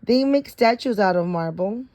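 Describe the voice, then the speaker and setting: A woman's voice: mid-range voice, expressive, normal speed, darkish tone. A woman reading a children's science storybook aloud, stopping now and then to repeat or correct words. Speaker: reader of a children's picture book.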